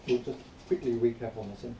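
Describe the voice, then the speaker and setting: A man giving an informal spoken review in a rambling, matter-of-fact, grumbling way, partly reading aloud, recorded quietly on a camera some distance away. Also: mid-range voice, steady tone, fast, gravelly, lo-fi sound. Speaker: product reviewer. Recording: distant quiet mic, roomy echo, faint noise.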